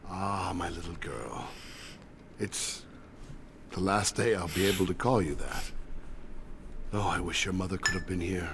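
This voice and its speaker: An actor playing a gentle, wistful, patient elf father of middle age in a video game. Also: sits low, unhurried, long pauses, noisy background.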